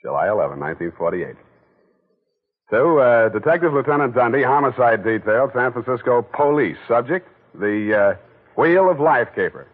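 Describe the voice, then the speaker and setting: An actor playing a wisecracking, laconic private detective, in 1940s radio acting. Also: male voice, mid-range voice, lively intonation, normal speed, lightly acted. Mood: dictating. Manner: dry, laconic, teasing. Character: wisecracking hard-boiled male private detective. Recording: tinny old radio broadcast.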